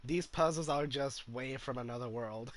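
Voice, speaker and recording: A man giving casual playthrough commentary as a gamer, halting and musing as he goes. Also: mid-range voice. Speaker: gamer, video host.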